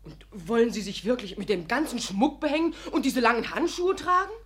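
Gossipy young maid, radio comedy, very high voice, super lively and teasing. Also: female voice, a little fast, husky. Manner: breathlessly eager, giggly, teasing. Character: cheeky, gossipy young maidservant, excitable. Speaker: actress in a radio play. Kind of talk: animated radio comedy storytelling.

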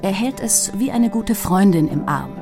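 A woman reading a scripted documentary narration in a measured, even way. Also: medium pitch, music in the background.

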